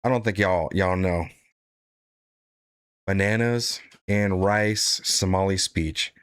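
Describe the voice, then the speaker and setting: A man's voice: low voice, slow pace, fairly bright tone, clear, resonant tone, no room sound. A man hosting casual political reaction commentary, blunt and profane, rambling with frequent "right?" tags.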